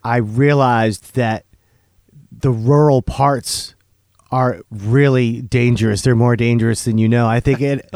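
A man's voice: mid-range voice, a little mellow, no room sound, clean studio sound. A man co-hosting a podcast, chatting casually with a wry, joking manner. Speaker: podcast co-host. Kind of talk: casual chat.

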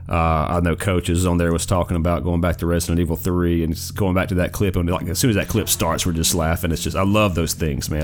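A forty-year-old man co-hosting a gaming podcast in a rambling, easygoing casual chat, often tossing in "man". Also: deep voice. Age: 40s. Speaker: podcast co-host.

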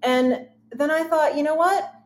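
A woman's voice: high voice, unhurried, echoey room. A middle-aged woman chatting casually and telling stories as an interview guest. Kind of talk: casual conversational storytelling.

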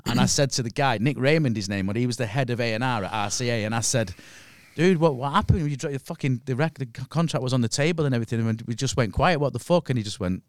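A man's voice: medium pitch, expressive, fast talker, hardly any pauses, dry recording. A middle-aged man with a Mancunian accent, casually telling anecdotes in a blunt, sweary way as a podcast guest.

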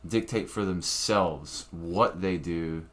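A man's voice: low voice, slow, a bit bright. A young man, a comedian co-hosting a podcast, ranting casually with frequent profanity and restarts. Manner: rambling, profane, restarts often.

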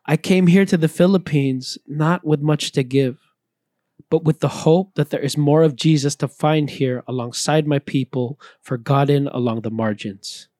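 A man reading a scripted, reflective personal talk in an earnest, measured way. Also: a little high, darkish tone, no room sound, studio quality. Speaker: missionary speaker.

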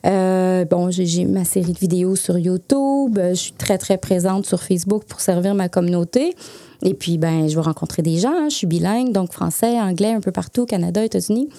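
A middle-aged woman from Lac-Saint-Jean with a Quebec French accent, chatting as an interview guest about her work and travel plans, animated and full of fillers. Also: fairly low pitch, fairly quick. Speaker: guest, essential oils consultant. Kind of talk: casual conversational interview.

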